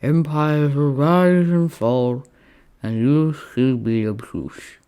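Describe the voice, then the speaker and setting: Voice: mid-range voice, steady tone, takes it slowly, dark tone, clear, resonant tone, voice pushed up, close to an everyday voice. A reader voicing a proud, lovesick Roman general in a story, formal, theatrical and drawn out. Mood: earnest, dramatic.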